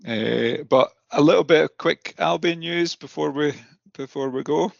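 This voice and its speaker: A man hosting a podcast in a casual, halting chat style, often repeating his words. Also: fairly high pitch, vocal fry, no echo, lo-fi sound. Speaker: podcast host.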